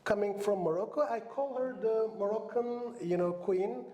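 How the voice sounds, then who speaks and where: A man's voice: sits high, normal speed, warm tone. A man whose first language is Turkish hosts a casual film podcast in English, rambling with many "you know" fillers. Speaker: podcast host. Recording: roomy room echo.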